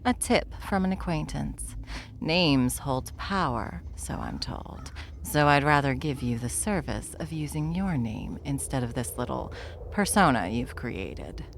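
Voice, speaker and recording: A woman playing an ancient, smug, wry stranger in an ASMR fantasy roleplay, with theatrical, taunting delivery and a gravelly voice. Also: very deep voice, expressive, a little hiss or hum, heavily acted. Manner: sardonic, theatrical, unhurried taunting. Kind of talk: ASMR audio roleplay acting.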